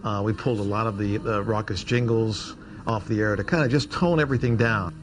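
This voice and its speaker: A man's voice: on the low side, a little fast, noisy background. A man who works in radio, recounting his memories in an interview. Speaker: radio staffer. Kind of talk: recollection in an interview.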